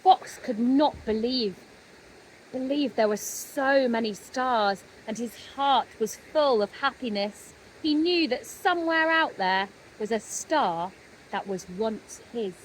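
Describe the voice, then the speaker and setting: A woman narrating a children's story expressively, with gentle dramatic pauses. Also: on the high side, animated, a little hiss or hum, low-quality recording. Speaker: storybook reader.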